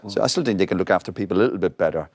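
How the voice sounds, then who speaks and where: A man's voice: deep voice, rapid-fire, no echo, clean studio sound. A man giving his opinions in a casual football panel discussion.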